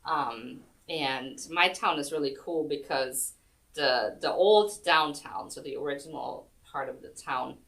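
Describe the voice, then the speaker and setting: A woman with a notably low voice chats easily about her life, wandering through her stories with plenty of ums and restarts. She sits some way off the microphone, so her voice carries a distant, roomy quality. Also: unhurried, fairly bright tone. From Germany. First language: German. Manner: chatty, rambling, many ums and restarts. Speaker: guest, chair yoga instructor. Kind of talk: casual storytelling interview. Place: senior center room.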